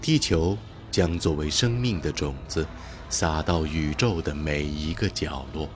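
Very deep, solemn male caller, acted. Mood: solemn farewell. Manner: solemn, measured. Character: solemn, sorrowful caller bidding farewell to humanity. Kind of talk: audio drama acting.

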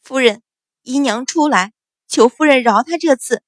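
A woman's voice: fairly high pitch, moderate pace, fairly bright tone, dry recording, clean studio sound, natural voice. A woman voicing a frightened, loyal maidservant, pleading submissively in a storybook drama. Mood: tearful, pleading. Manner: pleading, anxious.

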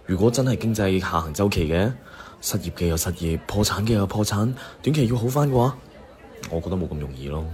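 A man's voice: deep voice, lively intonation, brisk, darkish tone. A man playing a burdened, sardonic company boss in a Cantonese radio drama. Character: male struggling company boss, burdened, sardonic old classmate.

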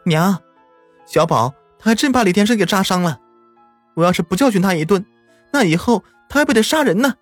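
An angry mother scolds loudly in high, sharp bursts, as a male narrator puts her on. Her voice jumps up and down a great deal. Faint music plays under a dry recording. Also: sing-song, quick pace, dry recording. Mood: pleading. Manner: loud, scolding.

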